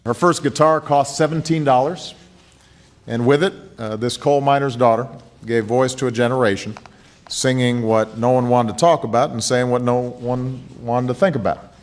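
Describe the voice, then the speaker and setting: Man delivering a mid-pitched tribute. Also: steady tone, normal speed. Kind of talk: prepared tribute speech.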